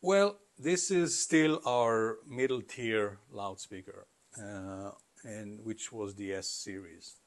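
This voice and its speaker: A middle-aged man giving conversational, explanatory interview answers in a halting way, as a company founder. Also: fairly low pitch. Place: carpeted listening room, indoors.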